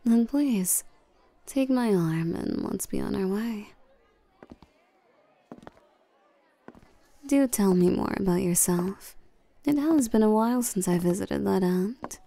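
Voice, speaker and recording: A woman playing a regal, seductive and domineering vampire queen in a scripted roleplay audio drama, coaxing and commanding the listener with soft menace. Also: medium pitch, expressive, unhurried, gravelly. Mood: gracious, flirty.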